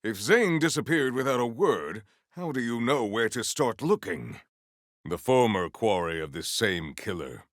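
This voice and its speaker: A man voicing a stoic, honourable warrior in a video game, speaking in formal, stately phrases with a gravelly voice. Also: medium pitch, lively intonation, a bit bright, no echo, studio quality. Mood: pondering. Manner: formal, stately, measured diction.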